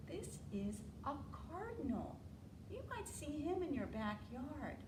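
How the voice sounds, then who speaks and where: An American woman leading an interactive storytime for preschoolers, asking simple questions and drawing out key words in an animated way. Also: high-pitched, slow pace. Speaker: children's storytime librarian. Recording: roomy, distant mic, faint room noise.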